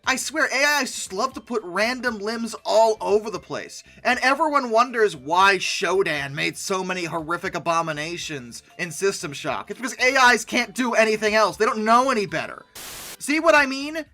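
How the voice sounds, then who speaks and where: This is a video host delivering humorous reaction commentary with a bright, forceful edge. The pitch moves around a great deal, and the delivery is sardonic and theatrically exasperated. Phrases get repeated for emphasis, and music plays quietly behind the voice. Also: lively intonation, normal speed. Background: background music.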